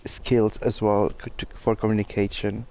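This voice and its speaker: A man's voice: on the low side, level tone, moderate pace, gravelly, darkish tone. A man speaking as a panel guest in a studio, giving earnest, instructive advice. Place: studio for a live stream.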